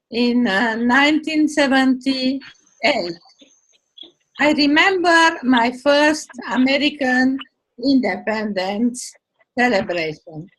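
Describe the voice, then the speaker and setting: An older woman giving a personal storytelling speech in a deliberate, reflective way. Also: sits high, low-quality recording. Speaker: club meeting speaker.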